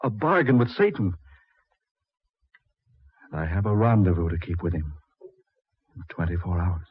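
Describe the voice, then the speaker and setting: An actor playing a dazed, grave husband returned from the dead, in halting, melodramatic 1940s radio style. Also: male voice, sits low, big pitch swings, husky, heavily acted. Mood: ominous, insistent. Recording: scratchy, tinny 1940s radio recording.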